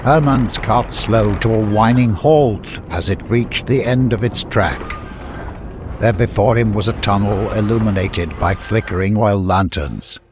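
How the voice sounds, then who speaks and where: A man's voice: lowish voice, noisy background. A man giving a dramatic scripted narration of an adventure story as an audiobook narrator.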